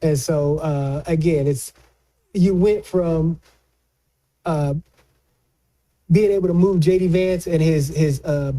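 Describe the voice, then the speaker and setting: A man's voice: on the high side, slow, pauses often, dark tone, rough recording. A man giving casual political commentary, speaking emphatically and repeating phrases for stress.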